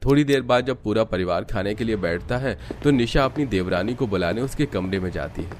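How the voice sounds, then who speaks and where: A man narrating a scripted animated family story. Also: fairly low pitch, fairly quick, a little hiss or hum.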